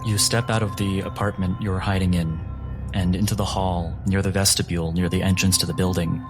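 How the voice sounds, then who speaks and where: A man narrating a tabletop game as game master, with a slow, deliberate storytelling delivery. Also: low-pitched, flat delivery. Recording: music bed behind the voice. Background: music.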